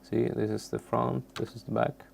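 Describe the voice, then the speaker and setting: Halting, very gravelly man teaching software. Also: mid-range voice, level tone, slight background noise. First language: not English. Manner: halting, searching for words. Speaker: tutorial presenter. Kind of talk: unscripted software tutorial.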